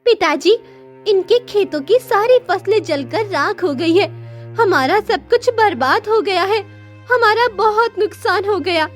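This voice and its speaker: A woman's voice: very high voice, animated, a little slow, soft background music. A woman playing a distressed, newly married young daughter in a moral-story cartoon, plaintive and pleading.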